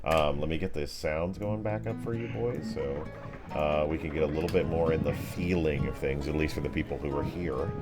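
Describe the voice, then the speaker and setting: A man with a notably low voice runs a fantasy tabletop game. He improvises scene descriptions in a casual, descriptive way and drops in slangy asides, with music clearly playing behind him. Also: normal speed. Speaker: game master. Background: background music.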